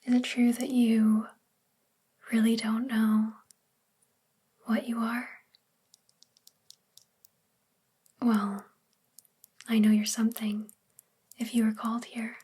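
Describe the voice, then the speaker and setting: A woman playing a sweet, gentle, self-doubting witch librarian in a soft-spoken, confiding ASMR roleplay with a breathy, whispery voice. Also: on the high side, flat delivery, slow, pauses often, full character voice. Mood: curious, confiding.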